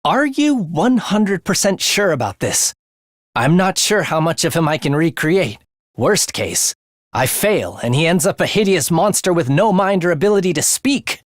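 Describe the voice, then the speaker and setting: A man's voice: fairly high pitch, sing-song, normal speed, no room sound, studio quality. A man voicing a morbid, bloodthirsty young male student scientist in an English video game, speaking theatrically with a sinister relish for the macabre. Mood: measured, wary.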